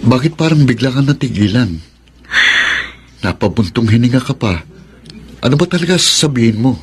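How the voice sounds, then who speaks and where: A man's voice: medium pitch, lively intonation, moderate pace, over music, lightly acted. Man acting a heartbroken Filipino lover, emotional radio drama. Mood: impatient, teasing. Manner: emotional, wounded, exasperated. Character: heartbroken, impatient young man in unrequited love.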